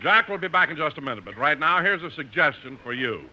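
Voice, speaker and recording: Male vintage radio announcer, emphatic sales read, higher-set voice with lively inflection. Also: quick pace, dull audio, loud music behind the voice. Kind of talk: 1950s scripted radio advertising and announcing.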